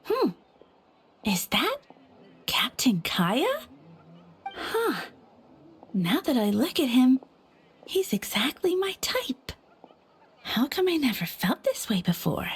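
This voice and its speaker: An actress playing a dreamy, flustered, lovestruck young townswoman in a video game, with a halting, swooning delivery. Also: sits high, huge pitch swings, a little hiss or hum, full character voice. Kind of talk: video game character acting.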